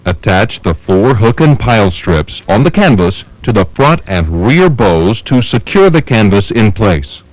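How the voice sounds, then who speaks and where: Low-voiced man narrating step-by-step instructions. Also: very animated, no echo, rough recording. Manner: measured, methodical, step-by-step delivery. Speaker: training film narrator.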